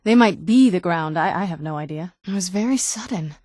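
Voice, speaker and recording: A woman voicing a guarded, wounded young vampire noblewoman in a video game, with dry understatement and hesitant pauses. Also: on the low side, animated, darkish tone, no echo. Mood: wistful, sad. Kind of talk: video game character dialogue. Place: dry studio recording.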